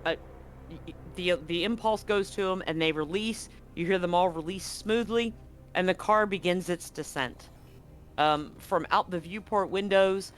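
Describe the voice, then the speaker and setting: A man's voice: high-pitched, a bit bright. A man narrating as game master of a tabletop roleplaying game, often correcting himself mid-sentence as he describes the scene. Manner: rambling, self-correcting narration.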